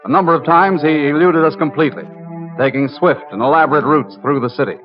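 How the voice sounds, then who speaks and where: An actor playing a sober, matter-of-fact investigator in an old-time radio crime drama. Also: male voice, a little high, fast talker, close to an everyday voice. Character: sober, methodical male investigator narrating a surveillance case. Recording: dull old radio recording, music behind.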